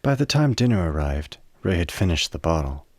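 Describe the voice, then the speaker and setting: A man's voice: sits low, very animated, a little fast, no room sound, studio quality. A man reading scripted narration for a radio play.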